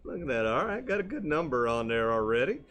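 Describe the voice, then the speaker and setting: A man's voice: mid-range voice, sing-song, fast, fairly bright tone. A man hosting a live fishing show, chatty and upbeat, laughing often. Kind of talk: casual live-stream chat. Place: inside an outfitters shop.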